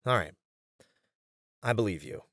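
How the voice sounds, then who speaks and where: An uptight band manager voiced by a man with a low voice, earnest and a little exasperated. He sounds stressed but caring, speaking hesitantly in an ASMR roleplay. The recording is dry. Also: expressive, measured pace, a bit bright, no echo. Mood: exasperated, stern.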